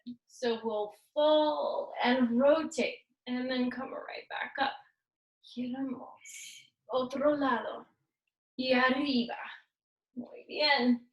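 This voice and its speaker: High-voiced woman leading a workout. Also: fairly even intonation, takes it slowly, lo-fi sound. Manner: upbeat, playful cueing mixed with Spanish words. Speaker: fitness instructor. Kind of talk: guided workout cues. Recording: echoey room, voice far from mic.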